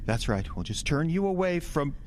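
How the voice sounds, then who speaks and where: Nervous pastor guy, gentle but flustered, voice jumps around, noisy horror radio drama. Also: fairly high pitch, very animated. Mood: fretful, soothing. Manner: halting, anxious, soothing. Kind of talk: horror audio drama acting.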